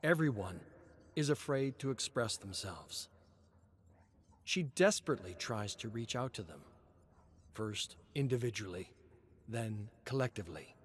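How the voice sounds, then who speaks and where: A man reading scripted dramatic fiction in an expressive, measured storytelling style. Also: on the low side. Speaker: narrator. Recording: clear background noise, ambience.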